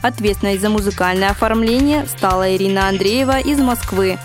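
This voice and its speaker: A woman's voice: medium pitch, brisk. Woman narrating over prominent music. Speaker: radio programme narrator. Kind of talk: scripted radio feature narration.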